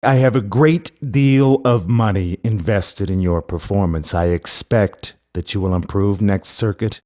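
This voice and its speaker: An actor playing a shrewd, money-minded racing backer in a video game, with a demanding, businesslike delivery. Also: male voice, fairly low pitch, unhurried, darkish tone, close to an everyday voice. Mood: stern. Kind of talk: scripted video game character dialogue.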